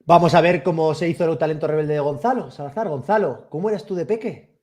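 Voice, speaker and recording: A man's voice: on the high side, fast, mellow. A man hosting a casual interview, chatty and eager, often repeating his questions. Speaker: interview host.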